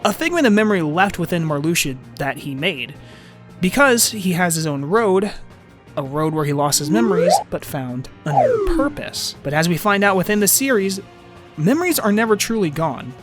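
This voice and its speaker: A man's voice: high voice, big pitch swings, moderate pace, over music. A man narrating an informal scripted fan video essay about a game, with emphatic, swinging phrasing.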